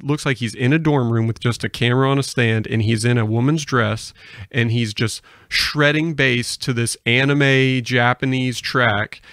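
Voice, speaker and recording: A man's voice: medium pitch, vocal fry, fairly bright tone, no room sound. A young man hosting a podcast, chatting casually on a close microphone. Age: young adult. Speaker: podcast host. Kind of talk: casual chat. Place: small podcast studio.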